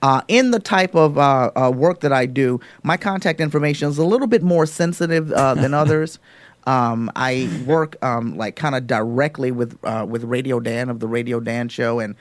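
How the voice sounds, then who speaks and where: A man's voice: medium pitch, moderate pace, hardly any pauses, no room sound. Mock-serious male prank caller, dry close sound. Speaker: prank caller, radio show host. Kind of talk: improvised prank phone call.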